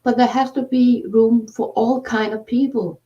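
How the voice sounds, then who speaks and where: A woman host with a Danish accent, talking in halting casual conversation with many fillers. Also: a little high, steady tone, warm tone. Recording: distant, dull lo-fi sound.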